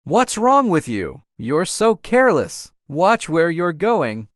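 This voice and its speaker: A man's voice: on the high side, very animated, slow pace, a bit bright, dry recording, heavily acted. A man playing a caring, friendly young coffee shop owner in a romance comic dub. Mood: alarmed, scolding. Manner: concerned, friendly. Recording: dry voice over faint music.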